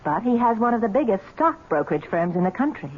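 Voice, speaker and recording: An actress in a 1970s radio drama playing a wealthy young fiancée, affectionate and managing, with a breezy, genteel, persuasive manner. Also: female voice, a little high, sing-song, fast, husky. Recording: muffled, noisy 1970s radio recording.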